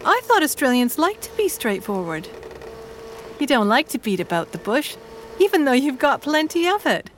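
Woman playing a high-voiced, genteel, shrewd lady. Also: very animated, moderate pace, slight background noise. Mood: dryly pointed. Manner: formal, precise, dryly pointed. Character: genteel, shrewd, sceptical mother with dry wit. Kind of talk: audio drama dialogue.

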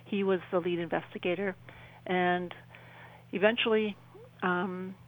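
An older woman with an American Midwestern accent telling a personal story conversationally as an interview guest, haltingly and repeating phrases. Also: fairly low pitch, steady tone, poor audio. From southwestern Wisconsin, United States.

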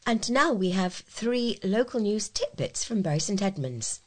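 A woman's voice: mid-range voice, animated, unhurried. A woman reading short local news items from a script as a volunteer newsreader.

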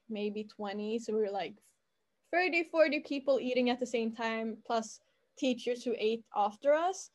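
A woman's voice: a little high, darkish tone. A woman telling a rambling story in casual chat, full of fillers and restarts. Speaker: student recounting school life.

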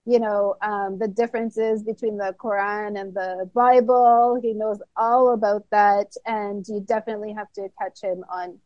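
Mellow-voiced lady host, chatty and casual, says like a lot, dull dry audio. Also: medium pitch, fairly even intonation, moderate pace, hardly any pauses, no echo. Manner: chatty, laughs easily, filler "like". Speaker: podcast host. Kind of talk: casual interview chat.

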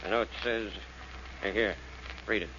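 A man's voice: lowish voice, steady tone, measured pace. An actor playing a dutiful male police subordinate giving reports in a 1940s radio detective drama. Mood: matter-of-fact. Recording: muffled, noisy old radio recording.

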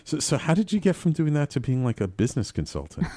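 A man's voice: on the high side, sing-song, quick pace, mellow, no echo. A man hosting a talk show, asking rambling, casual questions with lots of fillers. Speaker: podcast host. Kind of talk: casual interview.

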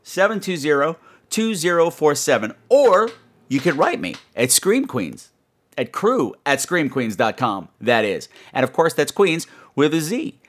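A man's voice: on the high side, very animated, measured pace. A man hosting a horror podcast, chatting to his listeners in a campy, playful, self-mocking and emphatic way. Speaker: podcast host.

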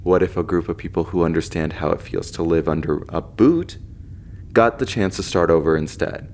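Very low-voiced, questioning man played by an actor. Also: moderate pace, darkish tone, a little hiss or hum, close to an everyday voice. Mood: hopeful. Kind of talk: audio drama acting.

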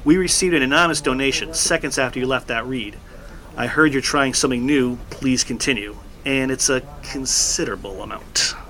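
A man playing a calm office staffer. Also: mid-range voice, brisk, close to an everyday voice. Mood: matter-of-fact. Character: male foundation staffer, calm, matter-of-fact. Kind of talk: audio drama acting.

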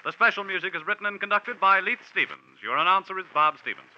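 A man's voice: high voice, animated, quick pace, a little hiss or hum. A man announcing in a scripted, formal 1940s radio style. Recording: dull 1940s radio recording, music.

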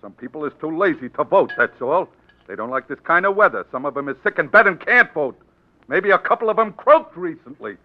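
An actor playing a shady, glib American small-time political fixer in a 1940s radio drama, persuading in folksy slang. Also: male voice, fairly high pitch, sing-song, brisk. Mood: mock-indignant. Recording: muffled 1940s radio recording.

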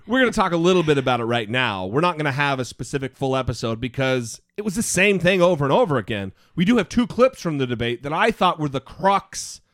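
A man's voice: on the high side, very animated, fairly quick, fairly bright tone, no echo, clean studio sound. A man co-hosting a podcast in casual political chat, with a goofy voice and a playful, sarcastic, teasing manner. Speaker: podcast co-host.

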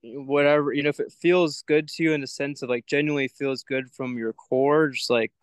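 A man's voice: medium pitch, steady tone, a little fast, clear, resonant tone, dry recording, studio quality. A young man as a podcast guest, talking in a thoughtful, searching way with many "like" fillers. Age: young adult. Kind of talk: reflective spiritual conversation.

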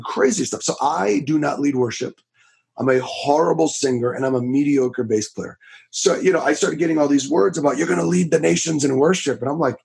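A man in his thirties from Florida, a preacher telling his story in a casual interview, with a raspy voice, talking loud and fast with many restarts. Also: fairly high pitch. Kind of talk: casual testimony in a podcast interview.

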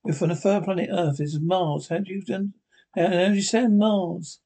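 A man playing a skeptical, commanding spaceship captain who sounds baffled and insistent, in a husky voice. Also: sits high, steady tone, normal speed, warm tone. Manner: questioning, insistent.

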